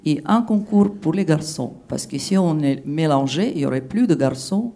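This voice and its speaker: A woman's voice: sits low, animated, moderate pace, mellow. A woman from Russia, speaking French as a panelist in an emphatic, persuasive way at a conference. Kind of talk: panel discussion answer.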